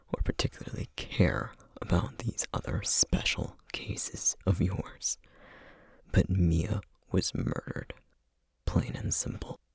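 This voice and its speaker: Brooding male essence eater for ASMR roleplay, played by a man: low, gravelly, terse, bitter, menacing. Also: no room sound, exaggerated voice. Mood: bitter, angry. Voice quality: breathy, gravelly near-whisper. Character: brooding, guilt-ridden male essence eater, a vampire-like monster. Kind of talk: ASMR roleplay audio drama acting.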